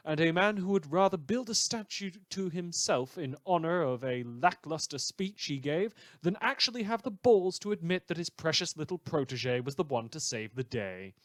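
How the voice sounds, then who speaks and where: Male actor as sardonic superhero-world newscaster, slightly high voice, theatrical, very dry close recording. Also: dry recording, close to an everyday voice. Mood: bitterly sarcastic. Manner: sarcastic, theatrical, dry asides. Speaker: radio news host. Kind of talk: scripted comedic news broadcast.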